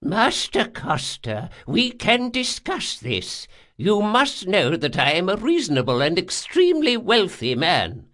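A man's voice: high voice, wildly swooping pitch, unhurried, few pauses, a bit bright, pitched above the performer's own voice, heavily acted. A man narrating an audiobook, voicing a wizened, wealthy old man who is haughty and formal, bargaining indignantly. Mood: pleading. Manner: haughty, formal, pleading when frightened.

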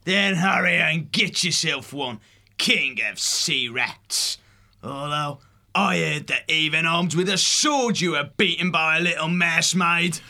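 A man voicing a defiant, cunning sea rat corsair captain in a storybook, hard-edged and creaky, with taunting pirate speech. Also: a little high, takes it slowly, pushed and bright, voice pushed up, full character voice.

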